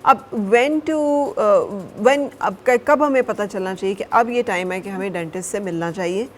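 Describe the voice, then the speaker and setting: Lady host, mid pitch voice, keeps going hmm while asking her questions. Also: normal speed, slight background noise. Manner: questioning, with steady "hmm" replies. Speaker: morning show host. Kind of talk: morning show interview.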